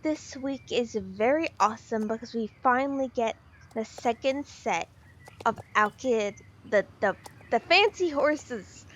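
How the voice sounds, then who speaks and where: A woman giving casual, excitable game commentary, rambling with fillers, her voice slightly off from the weather. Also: a little high, a little slow, muffled recording. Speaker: game video creator.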